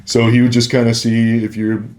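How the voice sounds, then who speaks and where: A man's voice: mid-range voice, monotone, moderate pace, a little mellow. A man telling anecdotes casually as a podcast guest, acting out remembered exchanges as he goes. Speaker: guest, former celebrity security worker.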